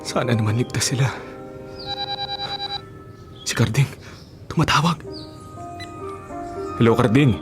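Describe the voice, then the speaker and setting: An actor playing a concerned, reassuring elder brother in a Filipino radio drama. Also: male voice, medium pitch, slow pace, long pauses, natural voice. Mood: worried. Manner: concerned, questioning. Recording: music behind the dialogue.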